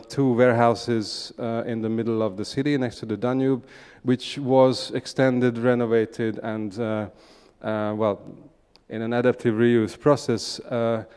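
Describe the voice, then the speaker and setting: A man from Hungary with a Hungarian accent gives a conference presentation, speaking in measured, hesitant phrases with pauses. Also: medium pitch, darkish tone, clear, resonant tone. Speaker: conference speaker, urban regeneration practitioner. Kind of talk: conference presentation, semi-spontaneous. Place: large indoor conference hall. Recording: echoey conference hall, long reverb.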